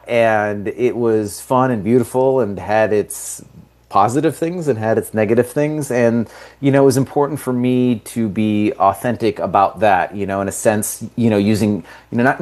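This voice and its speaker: A man's voice: fairly low pitch, darkish tone. A Canadian man whose first language is Italian, a film director chatting casually as a radio guest, rambling with many fillers.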